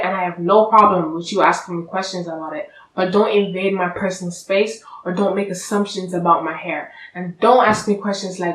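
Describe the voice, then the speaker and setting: Young woman vlogger, blunt and sassy, low-ish voice, set back from the mic in a roomy space. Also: a bit bright, recorded from a distance, slight background noise. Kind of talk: direct-to-camera vlog. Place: room indoors, seated on a sofa. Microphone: camera at a distance. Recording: echoey room, voice from off-mic.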